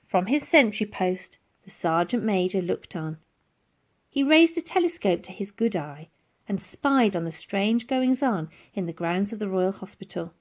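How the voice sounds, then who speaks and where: A woman reading a children's storybook aloud as scripted, expressive narration. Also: medium pitch, a little slow. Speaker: storybook reader.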